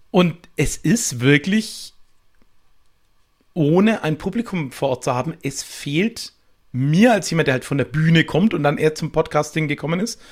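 Higher-voiced man reflecting in conversation. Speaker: podcast guest, improv stage performer. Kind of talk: casual podcast conversation.

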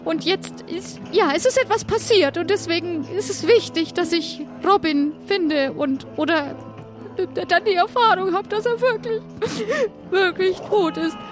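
A woman's voice: very high voice, unhurried, over music. A woman playing Greta, a grieving, hesitant woman searching for someone lost, in an improvised audio adventure. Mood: troubled, hesitant.

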